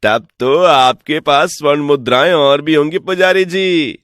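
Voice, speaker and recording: A crafty village trickster played by a man for an animated folk tale. His voice sits a little high and is recorded dry. He coaxes and boasts with false respect, always selling his scheme. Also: unhurried, clean tone, dry recording. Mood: sly, persuasive. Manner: persuasive, boastful, mock-respectful. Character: sly smooth-talking male village swindler posing as returned from heaven.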